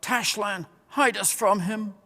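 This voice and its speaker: A man's voice: high voice, slow pace, sharp tone, voice pushed up, exaggerated voice. A man voicing frightened, cowering animals in a storybook, whimpering and wailing as they plead. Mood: terrified. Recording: long hall-like reverb.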